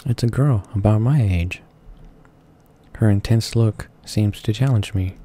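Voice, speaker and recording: A man's voice: lowish voice, measured pace. A man reading along to a visual novel in a casual amateur way, stumbling over words and correcting himself. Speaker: gaming channel host reading along. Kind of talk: halting read-aloud of visual novel narration, casual asides. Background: game music playing softly.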